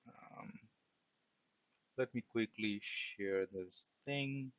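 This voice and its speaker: Bright-voiced man teaching, slightly dull recording. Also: lowish voice, drawn-out words, no room sound. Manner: explanatory, often adds "right?" as a check. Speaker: programming instructor. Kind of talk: informal screen-share tutorial.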